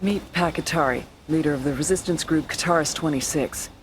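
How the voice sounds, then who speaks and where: A woman's voice: low-pitched, brisk, natural voice. A woman playing a hardened, world-weary American CIA field officer in a video game, speaking in a blunt, matter-of-fact way. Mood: firm briefing. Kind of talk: cinematic video game acting. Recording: music and vehicle noise behind.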